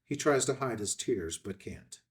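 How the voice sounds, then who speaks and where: A man's voice: mid-range voice, animated, a little slow, far from the mic. A man reading screenplay stage directions as a scripted narrator.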